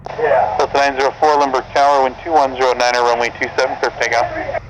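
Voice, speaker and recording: A man speaks quickly over a noisy aviation radio link. He is a tower controller, giving clipped, procedural instructions at a middling pitch. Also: little pitch movement. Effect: air traffic control radio. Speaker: airport tower air traffic controller. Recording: noisy air traffic radio transmission.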